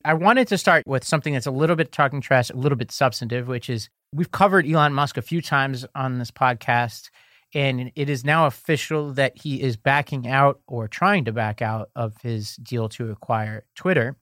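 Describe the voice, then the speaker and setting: A man co-hosting a podcast in casual chat, animated and quick to laugh. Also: medium pitch, no room sound, clean studio sound. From lives in New York. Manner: chatty, laughs often, animated. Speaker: podcast co-host.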